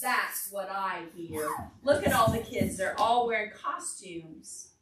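A woman's voice: medium pitch, takes it slowly, fairly bright tone. A woman, a children's librarian, reading aloud to toddlers with sing-song repetition and simple prompting questions. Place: large carpeted library meeting room. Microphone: far camera across the room. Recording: roomy, distant mic, noisy room.

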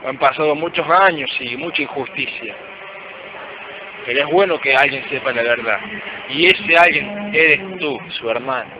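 A man's voice: mid-range voice, normal speed, lightly acted. An amateur man actor playing a sincere company worker revealing a crime in a radio play. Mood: earnest. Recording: muffled, noisy amateur recording.

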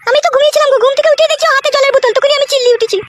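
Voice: very high-pitched, fairly even intonation, rapid-fire, very noisy, pitched above the performer's own voice. A performer voicing a whiny, imploring young wife in a comedy cartoon. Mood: worried, defensive. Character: shrill young wife, whining and pleading.

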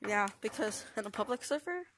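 Young woman, slightly high voice, casual gameplay tutorial narration, faint hiss. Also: lively intonation, a little fast, fairly bright tone. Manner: chatty, restarts sentences, explains step by step.

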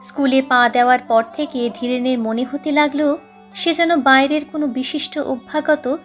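A high-pitched woman reads a literary tale aloud. Her delivery is measured and dramatic, like an audiobook storyteller, with music behind her. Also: fairly even intonation. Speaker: audiobook narrator. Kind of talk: dramatic scripted story narration.

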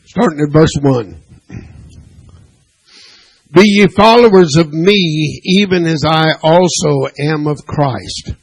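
A man preaching to his congregation in a church sanctuary, speaking slowly and deliberately with a gravelly voice that turns husky. He punctuates his points with "amen" and puts questions to the listeners. He also reads scripture aloud in old-fashioned wording. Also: fairly high pitch, low-quality recording. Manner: preaching with frequent "amen" and call-and-response questions. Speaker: pastor. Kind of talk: sermon.

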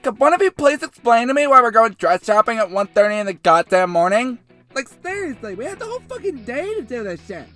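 Woman playing a sarcastic, animated teenage girl. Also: a little high, brisk, over music. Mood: exasperated. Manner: blunt, swearing, sarcastic. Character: sarcastic, foul-mouthed, exasperated teenage girl. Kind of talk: amateur voice acting for a comedy machinima.

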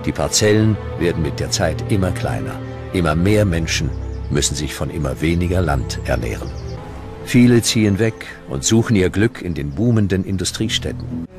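Very deep-voiced documentary narrator, male. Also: expressive, normal speed, music in the background.

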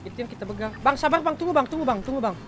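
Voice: high voice, animated, very fast. A gamer chatting casually during an online roleplay game. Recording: noisy game voice chat, engine sounds.

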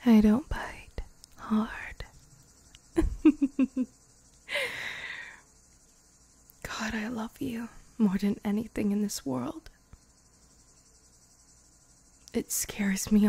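A woman voicing a loving young vampire girlfriend in an intimate, improvised ASMR roleplay, tender and hushed, often slipping into whispers, close on the microphone. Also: medium pitch, steady tone, slow pace, slightly rough, no echo. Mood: tender, confessional. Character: loving young vampire girlfriend, playful yet afraid of loss.

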